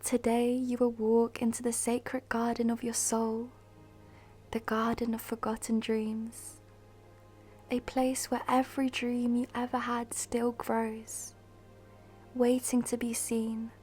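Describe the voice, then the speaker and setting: A woman guiding a meditation in a soft, breathy, half-whispered voice, soothing and measured, with long pauses. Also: on the high side, level tone. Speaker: meditation guide. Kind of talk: guided meditation, scripted narration. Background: music.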